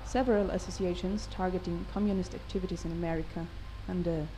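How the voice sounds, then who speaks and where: An actress playing an ambitious insurance fraud investigator who narrates in a cool, sardonic way over a game intro. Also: female voice, lowish voice, fairly even intonation, quick pace, noisy background, lightly acted. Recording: music underneath.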